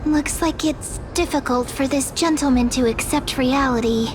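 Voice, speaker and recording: An actress playing a gentle, sympathetic young female companion in a video game, politely drawing out her words over music. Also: high voice, moderate pace, noisy background, natural voice.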